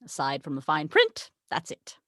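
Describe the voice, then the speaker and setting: A haughty, sarcastic angel read by a woman in a script table read, on a close dry mic. The pitch sits lowish but swings widely, wry and condescending as the tale is told with weary exasperation. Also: huge pitch swings, normal speed, a bit bright, no room sound, exaggerated voice. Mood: dry, exasperated. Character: haughty, sarcastic tequila-loving angel, the voice of God.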